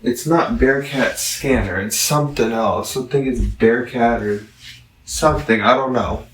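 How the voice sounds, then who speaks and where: A man giving a casual, rambling product review, halting and digressive, with long pauses. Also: mid-range voice, fairly bright tone. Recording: roomy echo, distant microphone.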